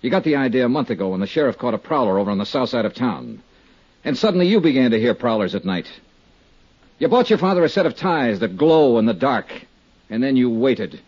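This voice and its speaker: An actor in a 1950s radio drama playing a shrewd, hard-boiled insurance investigator, husky, clipped and sardonic. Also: male voice, medium pitch, big pitch swings, fairly quick, darkish tone. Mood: cold, accusing. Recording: dull 1950s radio recording.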